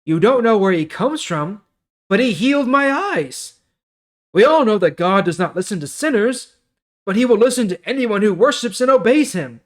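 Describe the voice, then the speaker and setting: A man's voice: very high-pitched, voice pushed up. A man voicing an indignant, earnest man healed of blindness in an emphatic Bible story reading.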